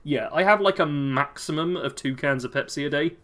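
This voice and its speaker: A chatty British man with a bright, forward voice, talking through a game stream. He keeps up a loose, friendly chatter as he answers viewers, chuckling often between comments. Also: on the high side, a little fast. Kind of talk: casual live-stream commentary, reading chat aloud.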